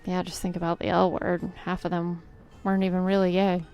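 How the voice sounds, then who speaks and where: A woman's voice: lowish voice, flat delivery, a little fast, a little mellow, muffled recording, soft background music. A woman co-hosting a podcast in casual chat, easygoing and hesitant, often hedging her views. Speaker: podcast co-host.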